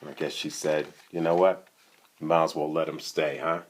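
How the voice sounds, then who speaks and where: A man's voice: a bass voice, level tone, normal speed, a little hiss or hum. A man reading a picture book aloud to children, conversationally, with casual asides and questions to the listener. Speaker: storytime reader.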